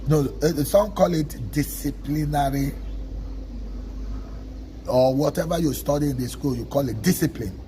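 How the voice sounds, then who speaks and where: A man from Nigeria with a Nigerian accent, an Igbo speaker, giving a measured teaching talk on a livestream and often checking in with "okay?". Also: fairly high pitch, dark tone, a little hiss or hum, poor audio. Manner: measured, stresses single words, asks listeners "okay?". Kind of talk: slow, deliberate teaching talk.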